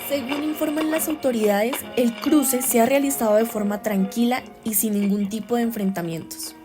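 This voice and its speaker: A woman's voice: fairly high pitch, close to an everyday voice. A woman playing a solemn radio news reporter, reading a scripted bulletin in a formal, declarative manner. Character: solemn female radio news reporter announcing historic events.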